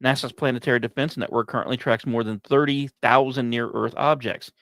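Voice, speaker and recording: Male podcast host, medium pitch, scripted science storytelling, dramatic pauses, the odd casual aside, very dry recording. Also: fairly even intonation, fairly bright tone, no room sound.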